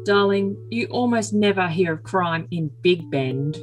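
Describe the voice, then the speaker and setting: A woman's voice: fairly low pitch, expressive, measured pace. A woman voicing an earnest, besotted young man with a solemn, stilted manner in an overly dramatic comic message reading. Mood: affectionate. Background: music.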